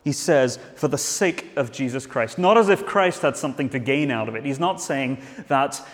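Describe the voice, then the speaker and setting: A man's voice: mid-range voice, moderate pace, big-room sound. A man preaching a sermon in a church, earnest, with frequent restarts and fillers.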